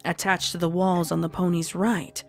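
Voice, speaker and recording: A woman with a fairly low voice narrates a dark piece of fan fiction. Her delivery is scripted, dramatic storytelling, with music playing behind her. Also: level tone, normal speed, creaky.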